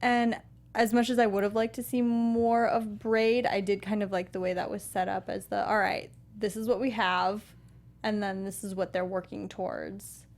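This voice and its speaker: A woman's voice: mid-range voice, level tone, moderate pace. A woman co-hosting a talk show, chatting casually as she reviews a film.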